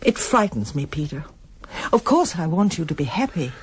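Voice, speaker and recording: A woman's voice: low-pitched, big pitch swings, moderate pace, a little hiss or hum. An actress playing a guarded, hesitant middle-aged English mother in a naturalistic radio drama. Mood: guarded, uneasy.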